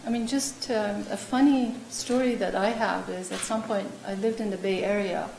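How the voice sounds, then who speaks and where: Woman, professor, chatty storyteller, gravelly, roomy echo. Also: mid-range voice, fairly even intonation, moderate pace, a little mellow. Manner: chatty anecdotes, many fillers. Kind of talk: panel discussion storytelling. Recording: echoey room with faint noise.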